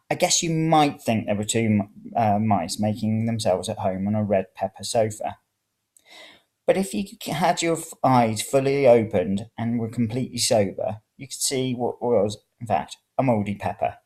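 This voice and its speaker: A man's voice: on the low side, darkish tone. A man reading a first-person story aloud. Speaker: reader of serial fiction.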